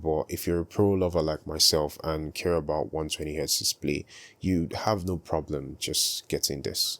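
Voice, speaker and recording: A young man with a very deep voice, speaking softly and calmly in a casual tutorial vlog. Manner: soft-spoken, calm and unhurried. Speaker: video creator.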